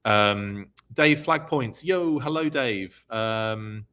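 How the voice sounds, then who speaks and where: A man's voice: fairly low pitch, very slow, pushed and bright, smooth. A man hosting a live-stream news recap for a podcast, talking casually with lots of ums and uhs while losing his voice. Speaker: podcast host.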